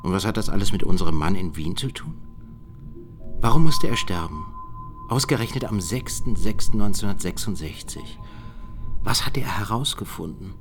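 An actor playing a wary, sceptical cold-case investigator in a naturalistic radio drama, questioning in a pensive, dry manner. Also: male voice, on the low side, animated, moderate pace, music in the background.

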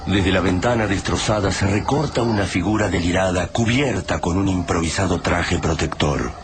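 A man narrating a radio drama in a measured, descriptive way. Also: low-pitched. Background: loud music score.

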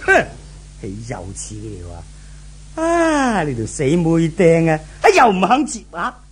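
A man's voice: high voice, wildly swooping pitch, a little slow, slight background noise, exaggerated voice. A man acting in a 1970s Hong Kong Cantonese radio drama as a cruel, menacing brothel boss, with a sneering, theatrical delivery full of grunts and questioning barks. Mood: sneering menace.

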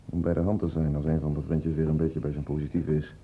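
A man's voice: very deep voice, flat delivery, fast talker, breathy edge, narrow, tinny audio, exaggerated voice. A man playing a weary, embittered hospital doctor in a Dutch radio drama with a noisy recording. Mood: weary, bitter. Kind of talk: Dutch radio play acting.

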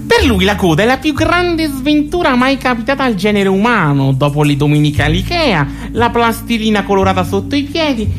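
An actor plays a mocking storyteller in a comic radio play. The delivery is theatrical and emphatic, with pitch that swings widely. Music plays clearly behind the voice. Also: sing-song, brisk, hardly any pauses, close to an everyday voice. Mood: gleeful, mocking.

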